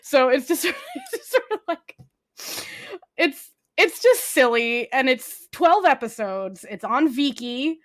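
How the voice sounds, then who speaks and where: A woman's voice: high voice, sing-song, takes it slowly, fairly bright tone. A young woman co-hosting a podcast, chatting casually about a comedy show she is enjoying, rambling and full of fillers. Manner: rambling, full of "like", breaks into laughter. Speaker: podcast co-host. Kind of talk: casual chat recommending shows.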